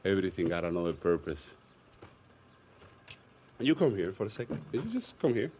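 Eccentric game rebel: cocky, teasing, animated delivery, noisy outdoor night. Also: male voice, sits low, fairly quick. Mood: jumpy, bossy. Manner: cocky, teasing, repetitive patter. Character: eccentric, playful, jumpy male guerrilla tinkerer. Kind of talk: video game cutscene acting. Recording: clear outdoor night noise, crickets.

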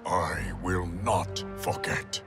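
An actor playing a grave, weary, wounded warrior emperor in a fantasy game, speaking in a solemn, formal, measured way. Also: male voice, low voice, over loud music. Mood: solemn, grateful.

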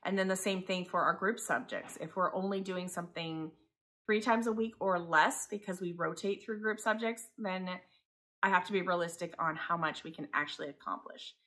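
A woman's voice: lowish voice, moderate pace, sharp tone. A woman in her forties from Taiwan, a homeschooling mother talking in a casual, rambling, conversational vlog style. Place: living room by a window, indoors.

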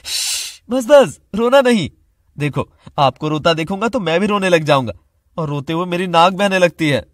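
An actor playing a caring, playful young male student in love, coaxing gently in a cartoon story. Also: sits high, lively intonation, normal speed, no echo, studio quality. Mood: concerned, teasing.

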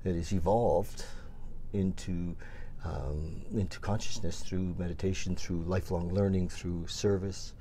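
A man's voice: sits low, steady tone, a little slow, warm tone, a little hiss or hum. A man in his fifties hosting a casual interview, rambling with many restarts, filmed in a small shop room. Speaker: host.

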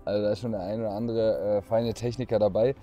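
A man's voice: on the low side, steady tone, quick pace, highs cut off, faint music behind. A man speaking as a panelist in an indoor football hall, hesitant with many fillers, on a lapel mic. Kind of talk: casual panel chat.

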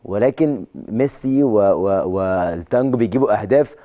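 An Egyptian man in his thirties delivers a sports news monologue with a hoarse, mellow voice. He talks emphatically and pushes his pitch up on key words. He speaks standing in a large TV studio on a lapel mic. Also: normal speed. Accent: Egyptian Arabic. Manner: emphatic, rising to excited peaks on key words. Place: large TV studio with video wall.

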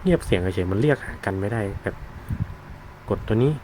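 A man giving a casual, unscripted product demonstration, explaining informally and haltingly as he searches for words. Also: lowish voice, lively intonation, mellow. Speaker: product reviewer demonstrating a gadget. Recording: noisy, with vehicle sounds behind.

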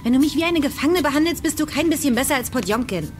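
A woman's voice: high voice, lively intonation, fast. Headstrong young woman, music behind. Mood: defiant. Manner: candid, headstrong. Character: defiant young woman longing for freedom. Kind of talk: German crime audio drama acting.

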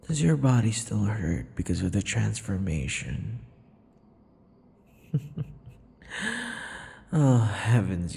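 A man playing a possessive, taunting vampire captor in an intimate ASMR roleplay, his breathy voice often dropping into whispers. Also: lowish voice, mellow, exaggerated voice. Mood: teasing. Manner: taunting, slow, drawn-out endearments. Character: possessive, obsessive male vampire captor and would-be lover. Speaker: audio roleplay voice actor.

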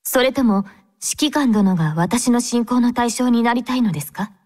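A woman voicing a devout young female android squad captain in an anime game, speaking formally and with gentle composure. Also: on the high side, normal speed, close to an everyday voice. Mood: calm, courteous. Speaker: game character voice actor.

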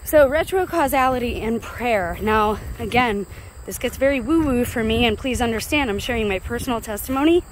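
A woman's voice: fairly high pitch, lively intonation, normal speed, low-quality recording. An American woman vlogging in a casual, chatty, digressive and confiding way as she walks along and talks into a phone. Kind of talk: casual walk-and-talk monologue. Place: outdoors walking along a rural road. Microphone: handheld phone. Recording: clear outdoor roadside noise.

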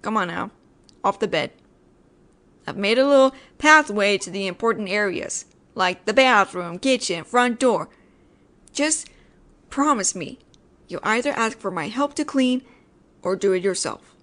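A woman's voice: mid-range voice, animated, a little slow, long pauses, a bit bright. A woman voicing a judgemental tsundere female ghost in an audio roleplay, sarcastic and nagging but secretly caring, speaking directly to the listener. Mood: reluctantly caring.

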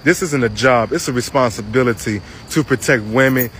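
A man telling a personal story and making an earnest appeal, deliberately, with drawn-out pauses. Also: medium pitch, a bit bright. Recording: faint outdoor traffic noise.

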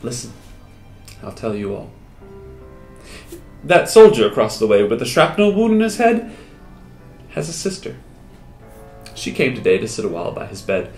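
A man in his thirties voicing a wistful, lovestruck wounded soldier in a theatrical, halting dramatic poetry recital with expressive pauses. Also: medium pitch, gravelly, pitched below the performer's own voice. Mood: bitter, despairing, then wistful, tender. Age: 30s. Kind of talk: dramatic poetry recital, first-person monologue. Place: small indoor room with hard walls. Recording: roomy echo, music behind.

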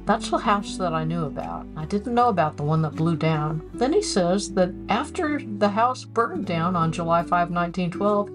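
A woman in her sixties, host of a family history channel, reading old documents aloud with chatty, wry asides in a home office. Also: fairly low pitch. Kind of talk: casual explanatory narration over documents.

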